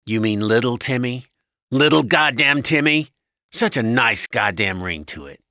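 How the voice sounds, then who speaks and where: A man voicing a gruff, domineering husband in an audiobook story, with a loud, belligerent, sneering manner. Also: mid-range voice, animated, unhurried, dry recording, studio quality, pitched above the performer's own voice. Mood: angry, belligerent. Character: gruff, crude, domineering cigar-smoking husband.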